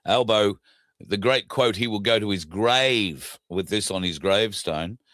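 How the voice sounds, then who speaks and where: An Australian man with a scratchy, hoarse throat, hosting a casual solo talk show in a blunt, indignant way. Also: fairly low pitch, a little slow, a bit bright, no room sound, clean studio sound. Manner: blunt, indignant, frequent uh fillers. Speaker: podcast host.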